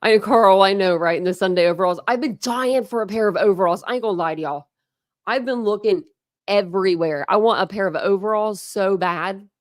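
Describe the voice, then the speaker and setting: A woman's voice: mid-range voice, brisk, dry recording. Chatty American woman livestreaming, dry close sound. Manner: slangy, chatty, mild cursing. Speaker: true crime livestream host. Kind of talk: casual live chat.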